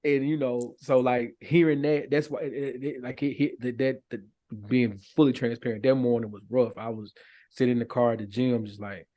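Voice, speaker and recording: A man's voice: medium pitch, fairly even intonation, normal speed, mellow, muffled recording. An American man in a casual chat as a podcast guest, speaking candidly and colloquially, often repeating his phrases.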